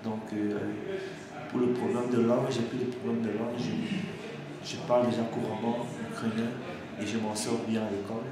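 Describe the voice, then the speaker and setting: A young man from Cameroon, an engineering student, giving a halting unscripted testimonial to camera with many restarts. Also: medium pitch, crowd noise. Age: young adult. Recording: echoey room with steady background noise.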